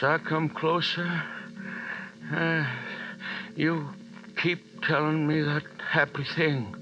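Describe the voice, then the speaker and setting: A doomed man facing a spider. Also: mid-range voice. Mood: dazed, pleading. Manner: breathless first-person monologue, panic turning eerily calm. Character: lone man hallucinating, stalked by a giant spider. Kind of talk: 1930s radio horror acting. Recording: dull vintage radio recording.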